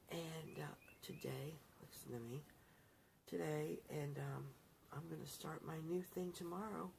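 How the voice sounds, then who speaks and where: A woman's voice: sits low, creaky, warm tone, slight background noise, low-quality recording. A middle-aged woman vlogger chatting casually to her viewers in a rambling, self-correcting way. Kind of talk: casual talk to camera.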